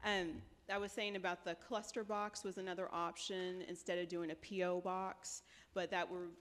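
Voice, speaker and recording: A woman's voice: lowish voice, little pitch movement, normal speed, a bit bright. A woman presenting options at a city council meeting, hesitant and full of fillers. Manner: hesitant, many fillers, says she has a light voice. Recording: reverberant meeting hall.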